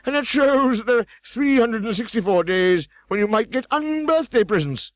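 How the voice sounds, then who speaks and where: A man's voice: very high voice, expressive, normal speed, no echo, voice pushed up. A man voicing a pompous, easily offended egg who sits on a wall and boasts grandly. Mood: lecturing.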